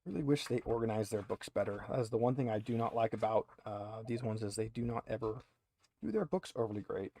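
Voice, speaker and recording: A man's voice: lowish voice, a little fast, warm tone, slight background noise. A man narrating a tabletop roleplaying game casually as game master.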